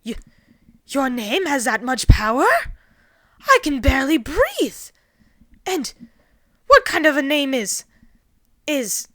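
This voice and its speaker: A woman voicing a loud, arrogant male alien invader in a story, indignant and excitable, given to bombastic shouting. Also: high-pitched, takes it slowly, long pauses, no echo, clean studio sound, voice pushed up, exaggerated voice. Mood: shaken, alarmed. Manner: shouting, bombastic, refers to himself in third person.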